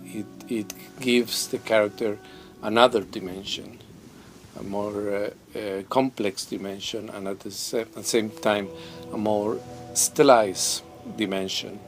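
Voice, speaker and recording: A middle-aged man from Peru, answering interview questions in a thoughtful, halting way, with many pauses and restarts, seated in his mask and puppet workshop. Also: on the low side, fairly even intonation, faint music behind. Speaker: theatre director and mask maker. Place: workshop full of masks and puppets, indoors.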